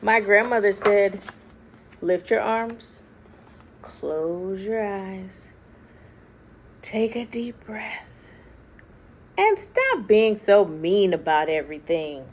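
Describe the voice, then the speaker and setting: A woman reads a picture book aloud to children at a slow, unhurried pace. Her voice has a gravelly fry, and she phrases dramatically, letting some words drop to a hush. There is faint noise behind her. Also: medium pitch, sing-song. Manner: deliberate dramatic phrasing with hushed, drawn-out words. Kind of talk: expressive read-aloud storytelling for children.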